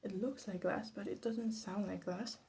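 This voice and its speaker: A soft-spoken woman giving casual, rambling ASMR commentary, hesitant and drifting into whispers. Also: medium pitch, fairly even intonation, normal speed, creaky, a little hiss or hum. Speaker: ASMR creator making perfume. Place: small room at home.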